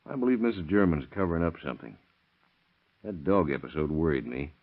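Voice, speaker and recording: An actor playing a shrewd, blunt sheriff who presses with questions in a 1930s radio crime drama. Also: male voice, very deep voice, big pitch swings, fast, heavily acted. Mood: musing. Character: shrewd, probing male county sheriff questioning suspects. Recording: dull, muffled vintage radio recording.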